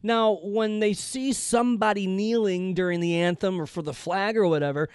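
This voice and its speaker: A man's voice: high voice, steady tone, moderate pace, clean tone, dry recording, clean studio sound. A man co-hosting a talk show, earnestly explaining his point of view at length. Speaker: co-host. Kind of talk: casual debate on a talk show.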